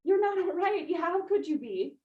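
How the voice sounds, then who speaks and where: A woman's voice: extremely high pitch, very animated, moderate pace, distant mic, muffled recording. An actress playing an anxious young woman who soothes and presses her brother while tending a hurt dog. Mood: urgent, soothing. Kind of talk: stage play acting.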